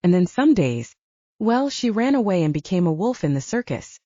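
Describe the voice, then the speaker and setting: A candid, self-mocking young female werewolf soldier, acted out by a woman audiobook reader. Her chatty delivery swings widely in pitch, with a mellow tone on a slightly muffled, dry recording. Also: big pitch swings, moderate pace, no room sound. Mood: candid, wry. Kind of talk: audiobook character dialogue. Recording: dull, lo-fi sound.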